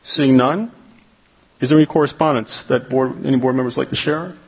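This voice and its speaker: A man chairing a school board meeting, reading procedure and calling on members in a brisk, formal way. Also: mid-range voice, vocal fry, husky, lo-fi sound.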